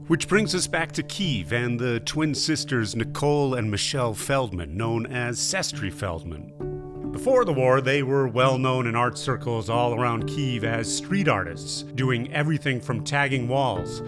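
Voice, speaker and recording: A man working as a documentary host, speaking in narration and casual interview. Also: medium pitch.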